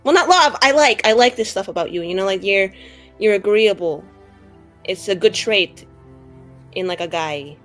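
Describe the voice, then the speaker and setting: A woman's voice: medium pitch, animated, moderate pace, a bit bright, soft background music, lightly acted. Woman playing a flustered, bossy young mage woman. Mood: awkward bossy. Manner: blustering, scolding, self-correcting.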